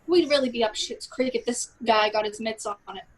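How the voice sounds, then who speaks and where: A woman's voice: on the high side, fairly quick, lightly acted. A woman playing a brash, foul-mouthed female secret service boss, sarcastic and scolding, in a comedy script read. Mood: exasperated.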